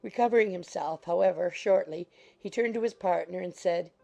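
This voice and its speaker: Creaky-voiced woman narrating a classic novel. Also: lowish voice, normal speed, warm tone, soft background music. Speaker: audiobook narrator. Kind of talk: scripted literary narration.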